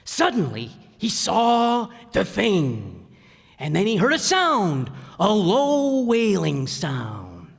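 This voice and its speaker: A jittery, blustering middle-aged father, played by a man in a radio comedy. He stammers and hedges, his pitch swinging widely, in a big echoing hall. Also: wildly swooping pitch, takes it slowly, creaky, breathy edge, exaggerated voice. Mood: spooky reading. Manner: stammering, flustered, hedging. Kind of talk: old-time radio comedy acting.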